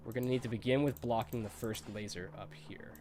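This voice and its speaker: A man's voice: mid-range voice, steady tone, brisk, noisy background. A congested man with a cold giving chatty, nostalgic commentary while streaming a video game, laughing often.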